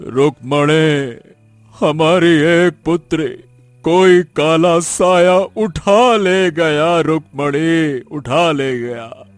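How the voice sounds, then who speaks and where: Shaken cartoon king and father, acted by a man, very slow, slightly high, dramatic grief. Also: no echo, faint music behind. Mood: grief-stricken.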